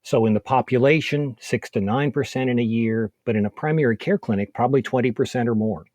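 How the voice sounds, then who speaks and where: A man speaking as an expert interview guest, giving measured, methodical and clearly structured explanations. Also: medium pitch, a little mellow, no echo. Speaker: expert guest, psychiatrist and researcher.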